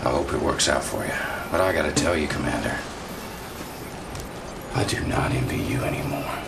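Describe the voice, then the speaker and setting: An actor playing a weary, doubting field officer in a video game cutscene, talking in a blunt, confiding, colloquial way. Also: male voice, rumbling low, normal speed. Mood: disillusioned. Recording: distant mic, clearly noisy background.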